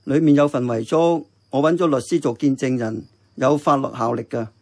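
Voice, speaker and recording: A man playing an earnest, thoughtful uncle who runs a diner in a radio drama, with a Hong Kong accent and a measured, reflective manner. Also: mid-range voice, a little mellow, a little hiss or hum, close to an everyday voice. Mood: grave, confiding. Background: music.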